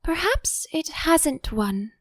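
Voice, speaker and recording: Woman playing Alice, a high-voiced polite little girl. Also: very animated, slow pace, dry recording, heavily acted. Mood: polite, tentative. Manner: polite, careful, earnest. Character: polite, thoughtful, curious young girl Alice.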